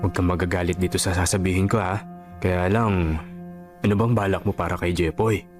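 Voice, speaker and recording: An actor playing a frank, concerned vendor friend in Filipino radio drama style, blunt, earnest and admonishing. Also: male voice, sits low, fairly even intonation, fairly quick, faint music behind, close to an everyday voice. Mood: serious, earnest.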